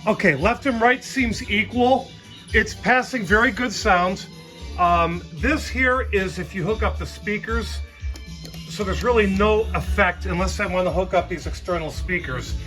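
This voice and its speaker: A man's voice: high-pitched, unhurried, pushed and bright. A man giving a casual hands-on equipment demo, explaining things informally and thinking aloud as he goes. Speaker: vintage audio hobbyist presenter. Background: music playing.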